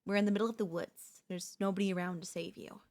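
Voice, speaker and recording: A woman playing an obsessive female pop idol turned kidnapper in an ASMR roleplay, speaking in a soft, coaxing, doting way with menace underneath. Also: mid-range voice, fairly even intonation, fast talker, close to an everyday voice. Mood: calm, menacing.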